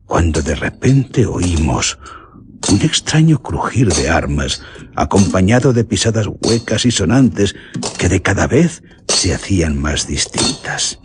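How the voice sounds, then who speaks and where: A man's voice: low-pitched, background noise. An actor playing a grave, ominous bandit who tells his story theatrically in a radio horror drama, with a Castilian Spanish accent. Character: bandit recounting his gang's dark deeds, grave and ominous.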